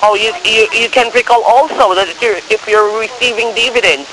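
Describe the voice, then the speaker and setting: A man with non-native English phrasing giving a persistent, partly scripted telephone sales pitch, often checking that the listener follows. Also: fairly quick, bright, forceful tone. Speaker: cold-call share scammer posing as broker. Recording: noisy phone call with crackly hiss.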